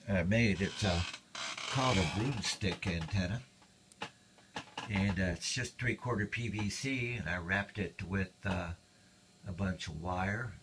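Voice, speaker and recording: Older hobbyist, low-voiced man, folksy and plainspoken, casual show-and-tell. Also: noisy background. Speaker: radio hobbyist.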